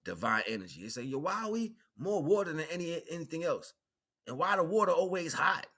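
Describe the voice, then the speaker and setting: A man's voice: on the high side, very animated, fairly quick, a bit bright. A man giving an improvised spiritual talk in colloquial language, repeating phrases for emphasis and checking in with rhetorical questions. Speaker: spiritual teacher, livestream host. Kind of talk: improvised spiritual lecture, streamed.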